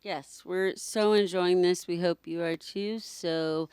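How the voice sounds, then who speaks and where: A woman co-hosts a casual podcast. Her voice is on the low side and very clear and smooth, recorded dry with no room sound. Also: fairly even intonation, slow pace, darkish tone, no room sound. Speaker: podcast co-host.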